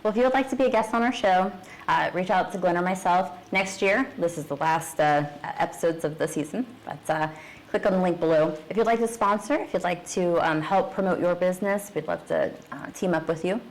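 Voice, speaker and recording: A woman's voice: lowish voice, fairly quick, a little hiss or hum, rough recording. A woman hosting a casual interview in an informal, affirming and playful way. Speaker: podcast host.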